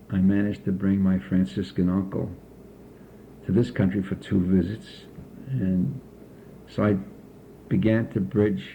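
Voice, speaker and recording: Older male interviewee, gravelly low voice, unhurried reminiscing, tinny lo-fi recording. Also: a little hiss or hum. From United States. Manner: slow, measured recollection with frequent pauses. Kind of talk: oral history interview.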